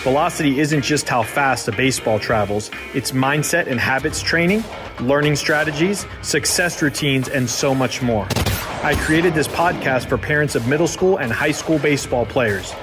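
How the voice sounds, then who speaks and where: Mid-pitched man narrating a promo over music. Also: normal speed. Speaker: podcast intro narrator. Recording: produced intro over music.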